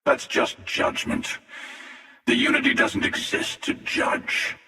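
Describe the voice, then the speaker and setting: An older man playing an ancient, sardonic and menacing starfaring hunter in a video game, with a gravelly, wry, taunting drawl. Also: takes it slowly, sharp tone, distant mic. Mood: wry, condescending. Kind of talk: scripted video game character dialogue. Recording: cavernous reverb, voice set back from mic.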